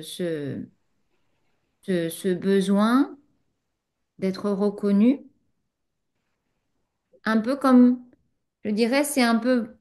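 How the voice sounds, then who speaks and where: A woman spiritual teacher speaks at an unhurried, slow pace in a mid-range voice. She is reflective and halts often with fillers as she answers a student's question. Her first language is not French. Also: medium pitch, a little mellow.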